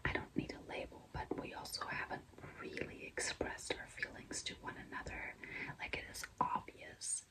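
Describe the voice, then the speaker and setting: A young woman whispering in a breathy, mostly unvoiced voice, chatting confidingly and casually to viewers as a vlogger telling a personal story. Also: normal speed, low-quality recording. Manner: confiding, conversational, frequent "like" and "you know".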